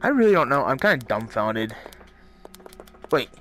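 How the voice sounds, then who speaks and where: Animated man muttering through a game. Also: mid-range voice, moderate pace. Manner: puzzled, muttering to himself. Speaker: video game streamer, host. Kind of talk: casual let's-play commentary. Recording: faint noise, keyboard and mouse clicks.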